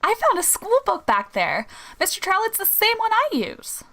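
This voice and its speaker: Very high, dramatic teenage girl woman acting. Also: huge pitch swings, normal speed, pushed and bright, heavily acted. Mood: excited. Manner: dramatic, girlish. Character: teenage daughter, dramatic and earnest. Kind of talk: old-time radio drama acting.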